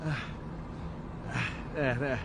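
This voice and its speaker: An actor playing an anxious, fussy, hapless English sidekick in a radio drama, with a nervy, hesitant delivery. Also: male voice, mid-range voice, fairly even intonation, takes it slowly, vocal fry, noisy background. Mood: nervous, flustered. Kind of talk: British radio drama acting.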